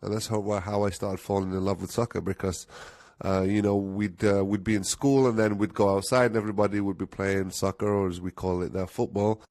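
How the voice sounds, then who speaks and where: Lowish-voiced man from Algeria, relaxed. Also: brisk, hardly any pauses, dry recording. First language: Arabic. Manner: relaxed, explanatory, with fillers. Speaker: guest, marketing analytics head. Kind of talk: podcast interview.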